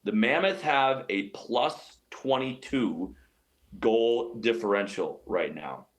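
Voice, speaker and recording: A man's voice: mid-range voice, animated, slow. A man giving sports analysis as an interview guest, explaining his points emphatically and often repeating phrases. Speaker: radio play-by-play announcer as guest.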